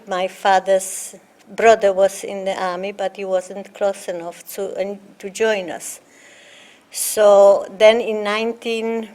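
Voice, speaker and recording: An older woman from Poland speaks English with a Polish accent, her first language showing through. She talks slowly, pausing often and filling gaps with "uh" as she recounts her past in an interview. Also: medium pitch, a little mellow. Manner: halting, with many fillers and pauses. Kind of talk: oral history interview.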